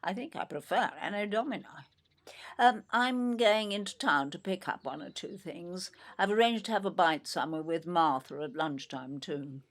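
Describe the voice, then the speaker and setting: An older woman with an English accent playing a brisk, teasing, affectionate elderly wife in an audio play. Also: fairly low pitch, natural voice. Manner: brisk, wry, tag questions. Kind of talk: naturalistic audio play acting.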